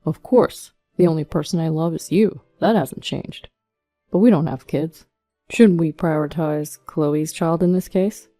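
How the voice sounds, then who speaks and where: A woman's voice: low-pitched, unhurried, warm tone, no echo, faint music behind. A woman voicing an evasive, self-excusing husband in a narrated story, speaking in a pleading way.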